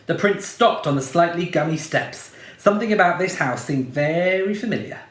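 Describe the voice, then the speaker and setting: A man reading a children's storybook aloud in a playful, expressive way. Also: on the high side, moderate pace, reverberant.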